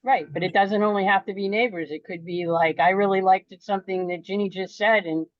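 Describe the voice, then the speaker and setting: A woman's voice: mid-range voice, steady tone, brisk, no echo. A woman from near Boston speaking up on a video call, frank and wryly talkative. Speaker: participant. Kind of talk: casual video call discussion. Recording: dull dry video call audio.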